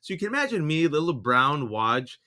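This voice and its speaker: A man from the Bay Area, an Urdu speaker first, co-hosting a casual political chat and acting out quotes animatedly. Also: a little fast. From San Francisco Bay Area, USA. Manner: animated, acts out quotes with big pitch jumps. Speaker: podcast co-host.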